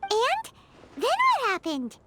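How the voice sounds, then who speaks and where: A woman voicing a tiny, excitable, childlike fairy companion in a video game, with a squeaky voice. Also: slow, heavy background noise, heavily acted. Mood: eager. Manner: excitable, refers to self in third person.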